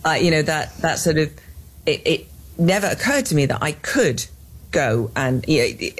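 A woman's voice: low-pitched, animated, moderate pace, husky. An English woman in her fifties, a scientist guest in an interview, talking earnestly with many restarts and fillers. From England. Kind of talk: interview conversation.

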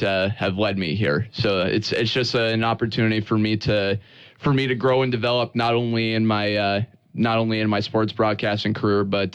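A man with an American accent talking conversationally as a sports broadcaster interviewed on radio, earnest and halting, often repeating words. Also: fairly low pitch, clean tone, dry recording.

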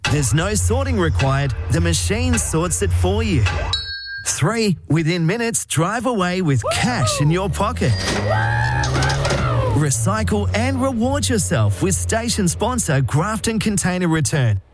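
A man's voice: high-pitched, sing-song, measured pace. A man reading a scripted radio sponsor advertisement. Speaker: radio ad voice-over. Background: music.

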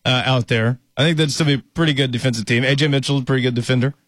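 A man co-hosting a casual sports talk show, reading viewer comments aloud in a relaxed, easygoing way. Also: medium pitch, racing, dry recording. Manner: relaxed, easygoing, reads chat questions aloud.

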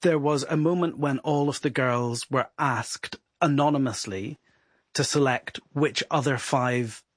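A man, a guest reporter, explaining at length in a steady, informed way on a podcast. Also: medium pitch, unhurried, husky, no room sound.

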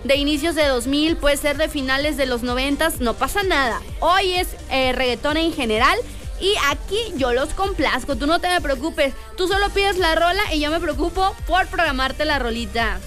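A woman's voice: high-pitched, normal speed, a bit bright. A young Mexican woman radio DJ, chatting casually and rambling with lots of fillers. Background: faint music.

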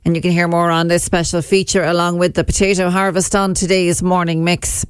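A woman with an Irish accent reading a radio news bulletin in a measured, even way at a studio microphone. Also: lowish voice, clean tone, no echo. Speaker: newsreader.

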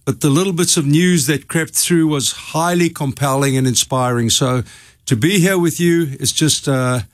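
A man's voice: fairly high pitch, normal speed, dry recording. South African man, dry close sound. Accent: South African Afrikaans. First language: Afrikaans. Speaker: interview guest, pastor. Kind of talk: conversational interview storytelling.

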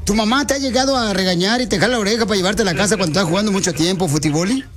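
A man's voice: high voice, rapid-fire, music in the background, natural voice. A man putting on a drawling, slangy soccer scout. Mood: teasing. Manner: drawling, hesitant, full of slang fillers. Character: pushy slangy male soccer scout, middle-aged.

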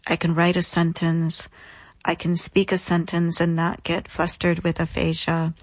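A woman speaks as an interview guest, telling her own story in a slightly low voice. Her delivery is reflective and deliberate, leaning on repetition for emphasis. The sound is close and dry. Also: monotone, no room sound.

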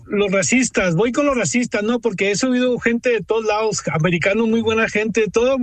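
Mexican guy guest on a radio show, very high voice, dry sound, casual storytelling. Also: a little fast, no echo.

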